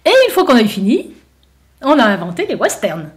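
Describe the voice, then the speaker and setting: A woman's voice: a little high, big pitch swings, a little fast. A woman voicing an arrogant, bullying American envoy who lectures in a self-assured, emphatic way. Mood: lecturing.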